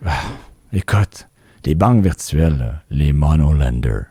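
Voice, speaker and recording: A man who grew up speaking English, hosting a podcast in casual chat, with a Quebec anglophone accent and an emphatic, animated manner that repeats words. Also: rumbling low, measured pace, husky, no echo, studio quality. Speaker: podcast host.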